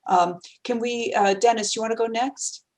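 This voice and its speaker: A woman's voice: fairly high pitch, fairly even intonation, moderate pace, darkish tone. A woman with a Boston accent moderating a panel discussion over a video call, thanking each speaker and passing the floor. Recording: noisy video call audio.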